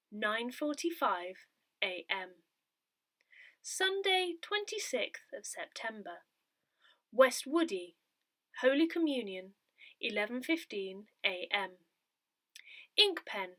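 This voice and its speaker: Slow, lilting woman reading notices aloud. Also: fairly high pitch, fairly bright tone. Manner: measured, careful enunciation of details. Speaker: reader of a parish newsletter audio edition. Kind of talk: scripted read-aloud of newsletter and notices.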